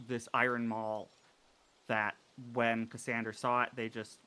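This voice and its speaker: Bright-voiced man, halting player. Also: mid-range voice, little pitch movement, clean tone. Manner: halting, many fillers and restarts. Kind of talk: describing his character in a tabletop game.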